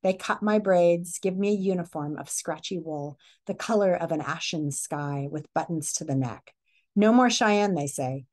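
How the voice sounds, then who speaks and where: A middle-aged woman giving a read-aloud lesson as a teacher, speaking with deliberate storytelling delivery and pausing to pose questions. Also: lowish voice, darkish tone. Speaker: teacher reading a picture book aloud. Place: small furnished living room or hotel room, indoors.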